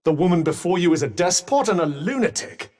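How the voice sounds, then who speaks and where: A sarcastic talking bracelet. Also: male voice, high voice, sing-song, a little fast, a little mellow, big-room sound. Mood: sardonic. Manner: dry, sarcastic quips mixed with urgent warnings. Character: sardonic talking magic bracelet, male, wry mentor. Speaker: voice actor. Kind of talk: video game combat barks.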